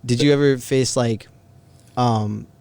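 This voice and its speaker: Casting: male podcast host, clean smooth voice, casual and inquisitive, everyday slangy phrasing. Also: mid-range voice, steady tone, slow, a little mellow, no echo. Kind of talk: casual interview chat.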